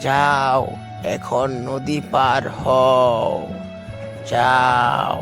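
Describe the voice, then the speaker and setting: A man's voice: medium pitch, level tone, extremely slow. A man voicing a stern river guardian who sets riddles in a children's cartoon, commanding, with music behind. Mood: stern, testing.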